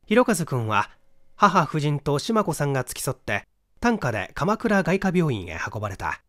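A man narrating a mystery story from a script in an expressive storytelling manner. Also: on the high side, moderate pace, no echo, clean studio sound. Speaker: audiobook narrator, stage actor.

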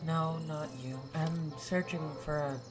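A woman playing an earnest, gentle young Jedi on the run in a video game, speaking in a hesitant, reassuring way. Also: sits low, fairly even intonation, slow. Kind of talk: cinematic video game dialogue.

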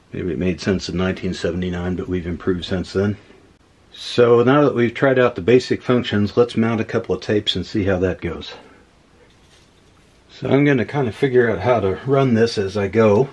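A man casually demonstrating old equipment at a workbench, unhurried and thinking aloud with many fillers. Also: deep voice, darkish tone, slightly rough. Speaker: electronics hobbyist host. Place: workbench room indoors.

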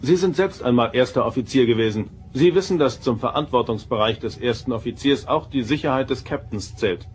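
A man's voice: mid-range voice, a little fast, few pauses, slight background noise, close to an everyday voice. Starship commander, male actor, confident, formal, middle register, sci-fi audio drama. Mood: respectful, firm. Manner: respectful, firm, formal. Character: confident, dutiful male first officer of a starship. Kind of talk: German audio drama acting.

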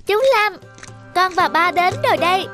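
A sweet little cartoon girl , acted by a woman, with an extremely high voice. She sounds eager and caring, and music plays clearly behind her. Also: moderate pace. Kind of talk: cartoon dubbing.

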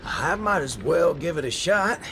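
A man's voice: a little high, expressive, unhurried, background noise. A man playing a brash, folksy cowboy gunslinger in a video game, with a drawl and minced swear words. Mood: wry, resigned. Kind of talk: video game story acting.

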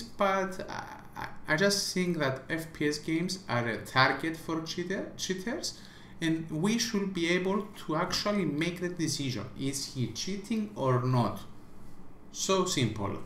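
A man's voice: fairly high pitch, fairly bright tone, echoey room, a little hiss or hum. A man who does not speak English natively, giving an emphatic opinion rant as a small gaming vlogger, repeating himself and stumbling through restarts.

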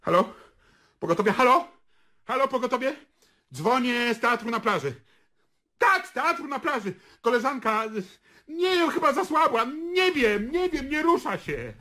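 An agitated, flustered theatre worker. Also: female voice, high-pitched, sing-song, normal speed, pushed and bright, exaggerated voice. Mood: panicked. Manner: agitated, emphatic. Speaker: actor in a radio play. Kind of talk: radio drama acting.

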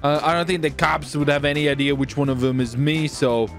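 A man doing excited, theatrical gaming roleplay, playfully repeating phrases in quick bursts. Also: fairly high pitch, soft background music. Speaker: streamer playing a clone gang leader. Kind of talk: excited game roleplay commentary.

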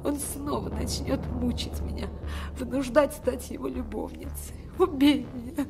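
An actress playing a seductive villainess who poses as a helpless, persecuted victim, imploring theatrically between whispers and cries in a radio drama. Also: female voice, high voice, measured pace, vocal fry, over music. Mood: desperate pleading. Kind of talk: classic radio drama acting.

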